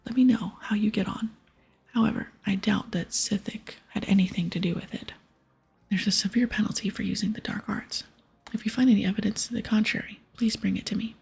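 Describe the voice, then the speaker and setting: A woman voicing a stern, busy aged wizard from a game in a formal, measured ASMR whisper. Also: mid-range voice, fairly even intonation, a little mellow, rough recording. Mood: grave, measured. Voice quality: breathy whisper.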